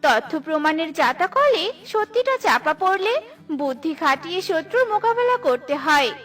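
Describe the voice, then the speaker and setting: High-voiced woman narrating kids' stories. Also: a bit bright. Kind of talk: scripted children's story narration.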